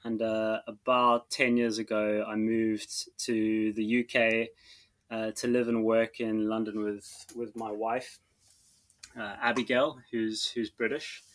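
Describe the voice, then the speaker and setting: A man in his thirties with a South African accent, talking about his farm as a podcast guest, slow and halting with many fillers and restarts. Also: on the low side, level tone, a bit bright. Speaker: guest farmer. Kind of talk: podcast interview.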